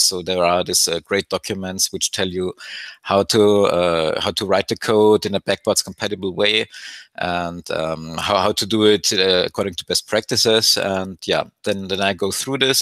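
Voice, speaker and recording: A man's voice: on the low side, a bit bright, no room sound. A man speaking from home over a video call, answering interview questions thoughtfully with many fillers. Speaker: open source maintainer. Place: home room with a piano.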